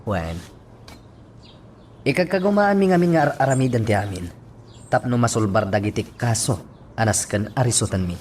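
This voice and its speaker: A man's voice: mid-range voice, lively intonation, moderate pace, pauses often, a little mellow, a little hiss or hum, close to an everyday voice. A man with an Ilocano accent playing an earnest, dutiful policeman in a radio drama.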